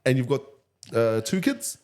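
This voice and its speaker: A man's voice: mid-range voice, huge pitch swings, measured pace, a little mellow. A man with a New Zealand accent hosting a casual interview, enthusiastic, matey and informal. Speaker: podcast host.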